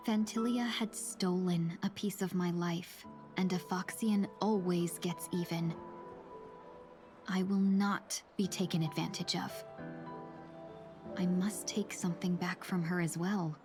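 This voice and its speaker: An actress playing a composed, silver-tongued young fox-woman merchant in a video game, speaking in a measured, polite, wistful way over music. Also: on the low side, steady tone. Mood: composed, resolute. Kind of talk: scripted video game voice acting. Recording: loud game music underneath.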